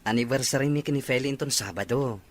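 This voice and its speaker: A man's voice: mid-range voice, fast, no room sound, natural voice. A man playing a devoted, hesitant young boyfriend in an Ilocano audio drama. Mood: earnest. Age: young adult. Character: devoted young boyfriend planning a surprise anniversary proposal. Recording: noticeable background noise.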